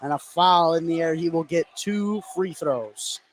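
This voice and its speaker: A man doing live play-by-play basketball commentary on radio, getting excited on big plays. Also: on the high side, unhurried. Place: indoor school basketball gym. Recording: noisy gym ambience behind.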